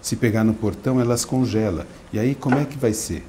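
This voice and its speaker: A man's voice: mid-range voice, brisk, mellow, a little hiss or hum, lightly acted. A man reading aloud and voicing a young male servant who scolds in a matter-of-fact way. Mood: scolding. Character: young male servant, bossy caretaker of a boy.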